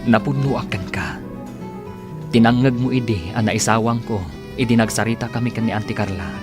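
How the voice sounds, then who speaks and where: A man's voice: on the low side, fairly quick, close to an everyday voice. Earnest young suitor played by a man over music. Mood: earnest, tender. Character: earnest young suitor, Frank, devoted and determined. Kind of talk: Filipino radio drama acting. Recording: music bed under the dialogue.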